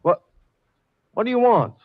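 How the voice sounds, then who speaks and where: An actor playing a formal, defensive, indignant bank executive in a 1940s radio drama. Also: male voice, very high-pitched, very animated, unhurried, no room sound, heavily acted. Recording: dull old radio recording.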